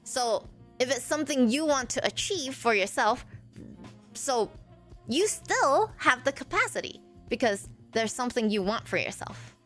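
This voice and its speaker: A woman in her forties who grew up in Malaysia, a streamer answering chat in a halting way with many fillers. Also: high-pitched, very animated, fairly bright tone, faint music behind. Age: 40s. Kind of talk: live stream chat reading viewer comments.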